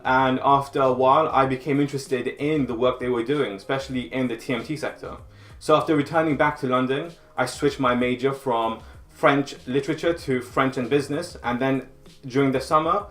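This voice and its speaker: A man giving direct, advisory career coaching in a scripted instructional video. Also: medium pitch. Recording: roomy sound with faint music.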